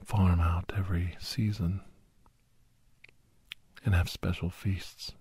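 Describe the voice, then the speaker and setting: A man playing a weary, guilt-ridden older doctor and veteran hunter, whispering a slow, halting confession in a breathy, husky voice as an ASMR audio story. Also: low voice, level tone, darkish tone, dry recording, heavily acted. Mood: quiet, wistful.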